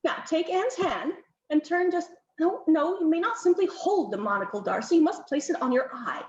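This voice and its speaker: A woman voicing a bossy, imperious middle-aged countess who talks in a brisk, insistent, high-pitched way. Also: lively intonation. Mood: bossy, fussing. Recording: roomy, slightly muffled home recording.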